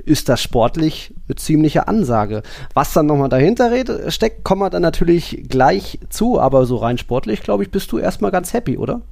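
A man's voice: a little high, sing-song, moderate pace, vocal fry, few pauses, darkish tone, dry recording, studio quality. A man co-hosting a football podcast, talking in a colloquial, wry, rambling way. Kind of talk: casual podcast discussion.

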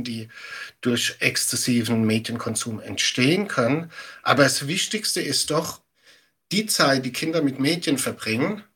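A middle-aged man speaks as an expert guest in an interview. His voice is bright and forceful with a raspy edge, at an average pitch. He explains conversationally and emphatically, using everyday examples. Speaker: media education expert guest.

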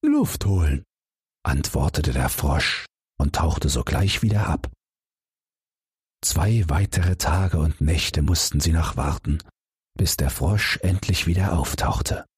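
Male audiobook narrator for bedtime fairy tales: deep, very husky voice, dry close sound. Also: slow, no room sound. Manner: calm, expressive storytelling. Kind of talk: bedtime fairy tale reading.

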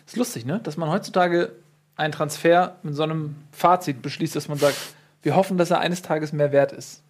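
A man's voice: fairly high pitch, steady tone, a little fast. A man hosting a casual football podcast, talking with ironic jokes and plenty of fillers. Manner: joking, ironic asides, many fillers. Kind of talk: casual chat.

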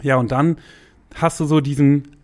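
A man's voice: fairly high pitch, steady tone, measured pace, a little mellow. A man in his forties, a podcast guest, talking casually in a loose, slangy, rambling way with laughs.

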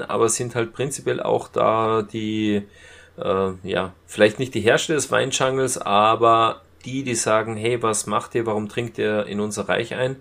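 A man casually reviewing as a podcast co-host, retelling scenes in a halting way. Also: mid-range voice, a bit bright. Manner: halting retelling with acted-out quotes.

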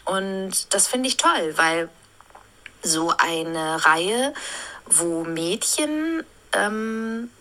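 A woman in her thirties, a voice actress, reflecting thoughtfully on her long-running role in a recorded interview, pausing often to find her words. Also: mid-range voice, expressive, fairly bright tone, low-quality recording. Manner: thoughtful, musing, with frequent hesitations.

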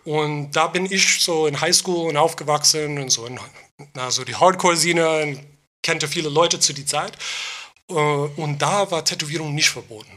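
A man's voice: fairly high pitch, normal speed, sharp tone. A man in his forties from New York with an American accent, telling stories casually as an interview guest, hesitating and mixing English words into non-native speech. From New York, United States. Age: 40s. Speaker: podcast guest, tattoo artist.

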